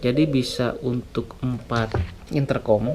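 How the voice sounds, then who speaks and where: A man's voice: medium pitch, fairly even intonation, a little slow. A man reviewing products in a casual, jokey, unscripted way on a noisy, clicky recording. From West Java, Indonesia. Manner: jokey, chuckles often, colloquial.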